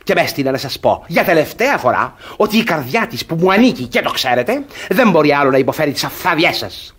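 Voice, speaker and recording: An actor playing a pompous, gullible, jealous middle-aged suitor in a classic radio comedy, with a theatrical, condescending manner. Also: male voice, fairly high pitch, big pitch swings, fairly bright tone, husky, heavily acted. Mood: indignant scolding.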